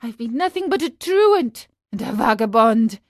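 A woman's voice: very high voice, big pitch swings, slow, dry recording, clean studio sound, voice pushed up, exaggerated voice. A woman voicing a frightened, tearful young wooden puppet boy from a children's storybook, wailing and pleading. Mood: miserable regret.

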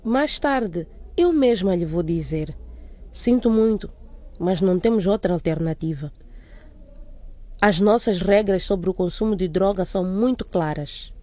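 An actress playing a firm but kind school principal, speaking in a calm, authoritative manner. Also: female voice, medium pitch, a little slow, no room sound, natural voice. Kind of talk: radio drama acting.

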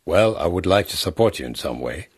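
An actor playing a vain, pompous member of parliament who insists on being called honorable, in a radio drama. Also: male voice, low-pitched, expressive, fairly quick, breathy edge, dry recording, clean studio sound. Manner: pompous, insists on his title. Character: vain smooth-talking male politician, middle-aged.

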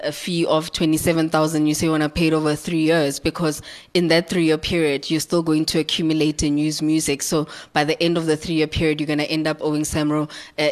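A woman with a South African accent answering audience questions as a licensing manager, explanatory and emphatic. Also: low-pitched.

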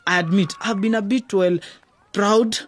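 Woman playing an eager, husky-voiced schoolboy footballer. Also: mid-range voice, fairly even intonation, a little slow, lightly acted. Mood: pleading. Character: eager, pleading schoolboy footballer, proud team star. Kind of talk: radio drama acting.

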